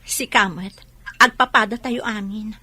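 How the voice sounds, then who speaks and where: A woman's voice: medium pitch, steady tone, a little slow, pushed and bright, dry recording. A woman playing an earnest, devoted grown daughter with a Filipino accent in an audio drama. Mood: brisk, confident. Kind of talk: Ilocano audio drama acting.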